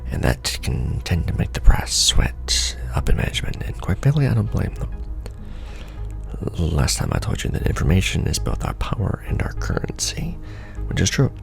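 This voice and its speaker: A man performing an ASMR roleplay as a seasoned, wry secret-society official, talking softly and casually with a gravelly, breathy undertone. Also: low-pitched, wildly swooping pitch, faint music behind. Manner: wry, casual, unhurried explaining. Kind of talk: ASMR roleplay monologue, soft conversational briefing.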